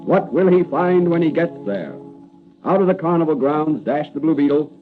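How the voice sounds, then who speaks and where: An actor playing a suspense-building announcer in an old-time radio serial, giving dramatic, declamatory scripted narration. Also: male voice, on the high side, normal speed, close to an everyday voice. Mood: suspenseful. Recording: tinny vintage broadcast with music behind. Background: music.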